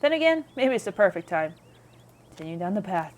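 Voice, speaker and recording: A woman's voice: mid-range voice, big pitch swings, fairly quick. A woman streamer reading game narration aloud with playful, chatty casual commentary.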